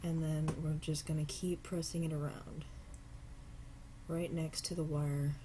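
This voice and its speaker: A low-pitched woman with a cold talks viewers through making earrings at home, sounding stuffy. She is relaxed and unhurried, and now and then stops to apologize. A faint hiss of background noise sits behind her voice. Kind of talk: casual step-by-step craft tutorial. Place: home craft table.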